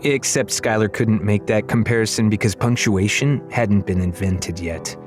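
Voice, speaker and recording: A man reading a children's fantasy novel as an audiobook narrator, in expressive, dramatic storytelling style. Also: fairly low pitch, moderate pace, music in the background.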